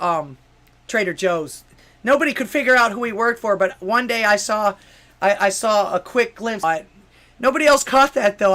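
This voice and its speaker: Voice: medium pitch, fairly bright tone. A talk-show host from Miami, Florida, giving political commentary between news excerpts and listener comments, with sarcastic rhetorical questions and frequent restarts. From Miami, Florida, USA. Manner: stumbling restarts and many fillers. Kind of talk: political commentary, reading news and chat messages aloud.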